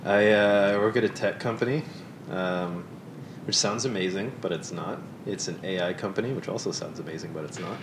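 Laughing low-voiced young man, roomy and distant. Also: normal speed. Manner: laughs often, self-deprecating asides. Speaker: podcast guest. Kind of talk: casual chat. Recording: roomy sound, off-mic, rumbling noise.

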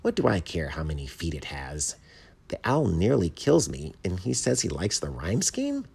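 A man's voice: low voice, huge pitch swings, moderate pace, gravelly, darkish tone, breathy edge, heavily acted. A man voicing a shy, earnest little bat who writes poems, tentative and naive, in a storybook reading. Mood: bitter. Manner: tentative, naive, easily bewildered.

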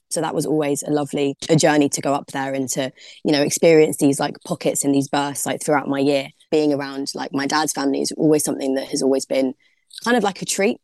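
A woman's voice: low-pitched, darkish tone, no room sound. A young woman, a DJ guest on a podcast, chatting casually and filling her talk with "like". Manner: chatty, heavy use of "like", laughs easily. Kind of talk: casual interview chat.

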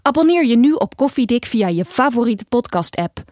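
Woman doing podcast outro credits, voice a bit high, very dry close mic. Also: expressive, moderate pace, darkish tone, no echo. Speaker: announcer reading podcast credits. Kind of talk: scripted outro announcement.

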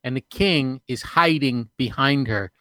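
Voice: medium pitch, a little slow, a bit bright, no echo, studio quality. A game master narrating a tabletop game, hesitant with many fillers and restarts.

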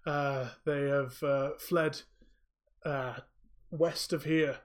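A man's voice: a little high, steady tone, extremely slow. A man voicing a tall, older male town leader who is gracious and polite, speaking hesitantly and apologetically. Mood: courteous.

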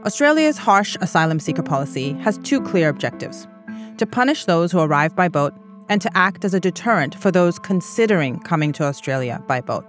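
A woman with a fairly low voice narrates a documentary podcast as its host. Her delivery is measured and serious, with music playing softly underneath. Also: big pitch swings. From East Africa. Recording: music bed behind narration.

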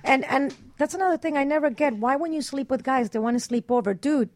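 A woman in candid, bawdy casual chat, telling personal anecdotes in an animated way. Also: high voice, fairly quick, slight background noise.

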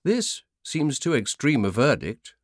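A man narrating a scripted nonfiction audiobook in a measured, formal manner. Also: medium pitch, big pitch swings, no echo, clean studio sound.

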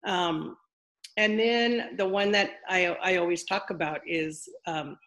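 An older woman with a deep, low-set voice and a gravelly edge. She talks in a loose, rambling way, full of fillers and restarts. She sounds like a guest easing into a casual interview. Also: fairly even intonation, slow pace, fairly bright tone. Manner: chatty, rambling, many fillers. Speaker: former wrestler, interview guest.

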